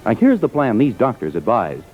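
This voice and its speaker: A man's voice: mid-range voice, wildly swooping pitch, moderate pace, soft background music. A man announcing a vintage radio commercial in a scripted, selling style, on a tinny recording. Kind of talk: 1940s-50s radio advertisement.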